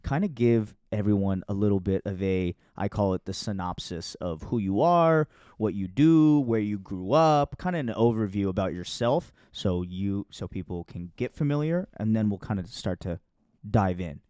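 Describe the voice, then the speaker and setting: Man, podcast host, animated pitch, playful, teasing, informal, dry close sound. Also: normal speed, clear, resonant tone, dry recording. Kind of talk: casual podcast interview chat.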